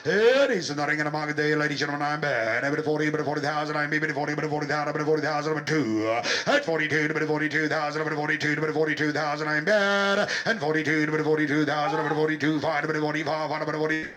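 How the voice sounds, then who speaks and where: A man auctioning bulls in a rapid, rhythmic chant, repeating bid figures over and over. His voice sits fairly high and sounds bright and forceful. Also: steady tone. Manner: rhythmic rattling bid calls, mixing Afrikaans and English. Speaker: livestock auctioneer.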